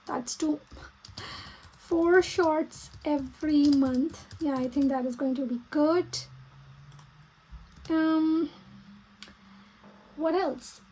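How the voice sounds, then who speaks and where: Female vlogger, Pakistani accent, native Urdu speaker, high-pitched voice, halting think-aloud delivery for casual plan-with-me content. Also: warm tone, a little hiss or hum, low-quality recording. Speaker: vlogger and small business owner. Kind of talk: casual plan-with-me vlog talk.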